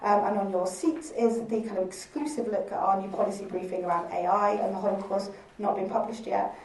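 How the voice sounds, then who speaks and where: A woman with a croaky voice from recent illness, hosting a launch event with a chatty, informal welcome full of ums and laughs. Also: medium pitch, a little fast, darkish tone. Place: large museum hall. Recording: echoey hall, speaker far from mic.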